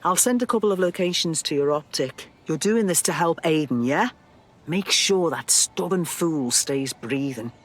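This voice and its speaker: A woman playing a brisk, guarded underworld contact in a video game, dry and businesslike. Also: low voice, expressive. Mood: dry, wary.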